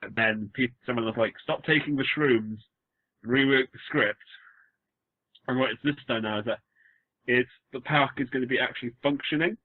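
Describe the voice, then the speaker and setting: A man's voice: mid-range voice, moderate pace, no room sound. A man with a Welsh accent co-hosting a casual podcast, rambling and joking through a story with frequent restarts. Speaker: podcast co-host. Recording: phone-like lo-fi dry sound.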